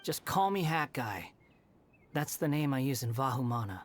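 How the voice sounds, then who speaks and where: A man's voice: medium pitch, big pitch swings, normal speed, background noise, natural voice. Man voicing a snide, aloof young wanderer. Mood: curt. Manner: snide, dismissive. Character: aloof, sardonic young male wanderer, prickly and taunting. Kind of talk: video game voice acting. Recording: music behind the voice.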